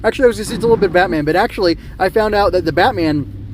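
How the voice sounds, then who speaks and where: Male podcast host, slightly high voice, digressive and unscripted, faint vehicle-like noise behind. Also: racing. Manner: rambling, self-correcting, digressive. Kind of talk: unscripted solo podcast talk.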